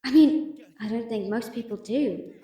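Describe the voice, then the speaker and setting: A woman's voice: on the high side, measured pace, warm tone, distant mic, close to an everyday voice. A woman playing a sardonic, anxious captive merchant, muttering a sarcastic inner monologue in a fantasy audio drama. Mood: anxious, exasperated. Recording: roomy, echoing, off-mic sound.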